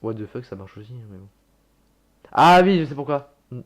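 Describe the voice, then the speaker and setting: A man's voice: medium pitch, expressive, normal speed, dull audio. A young man casually reacting to his own old videos, chatty and self-mocking, swearing and laughing at himself.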